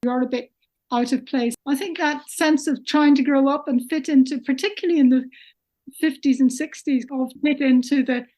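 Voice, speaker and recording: A woman interviewer with a high-pitched yet mellow voice. She hosts a podcast and offers her observations and questions in a measured, conversational way. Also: fairly even intonation, rough recording. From Ireland.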